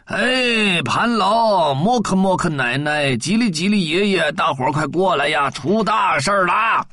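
A man voicing an old grandpa ant who calls everyone together in an urgent, drawn-out way. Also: mid-range voice, very animated, sharp tone, no room sound, clean studio sound, heavily acted.

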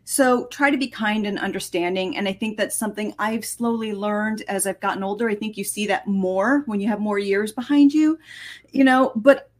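Candid woman guest, mid-pitched voice. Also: moderate pace. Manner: candid, thoughtful. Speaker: featured storyteller guest. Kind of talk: reflective interview answers.

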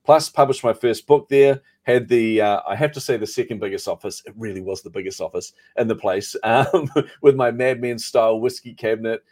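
A man's voice: mid-range voice, brisk, hardly any pauses, darkish tone. A middle-aged man with a New Zealand accent, telling his career story as an interview guest in a chatty, self-deprecating way. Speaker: podcast guest, marketing coach.

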